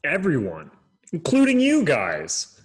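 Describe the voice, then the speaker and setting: A man's voice: on the high side, slow, breathy edge, full character voice. A man reading a sulky teenage boy. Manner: sulky, flat, self-deprecating. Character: glum teenage boy, a discouraged young writer. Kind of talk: screenplay table reading.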